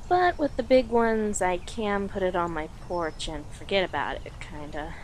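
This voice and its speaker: A woman playing an affectionate, playful girlfriend in an audio roleplay, talking softly and giggly to the listener with lingering pauses. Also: mid-range voice, slight background noise, close to an everyday voice. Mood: cheerful, cozy. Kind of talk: audio roleplay, intimate slow-paced monologue to listener.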